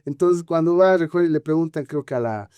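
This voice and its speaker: A man with a Bolivian Spanish accent talking film criticism conversationally as a podcast co-host, haltingly searching for words with frequent filler tags. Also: on the high side, warm tone, clean tone, no echo.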